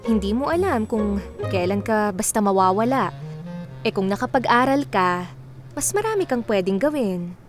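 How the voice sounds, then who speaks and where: An actress playing a kind, modest small-town pediatrician and former teen star in a Filipino radio drama. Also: female voice, mid-range voice, animated, moderate pace, close to an everyday voice. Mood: caring, advising. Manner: caring, gently advising, playfully exclaiming. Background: background music.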